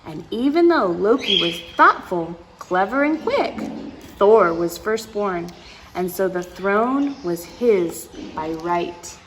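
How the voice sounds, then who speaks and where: A woman's voice: medium pitch, lively intonation, slow pace, slight background noise, low-quality recording. A woman reading a picture book aloud to children, with playful asides and dramatic emphasis, speaking straight to her young listeners.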